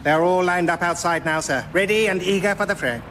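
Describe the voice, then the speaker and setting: An actor playing a polite, deferential wartime military aide with a British accent in a radio comedy sketch. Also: male voice, high voice, brisk, sharp tone, slight background noise. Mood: earnest. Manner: polite, hesitant, straight-faced.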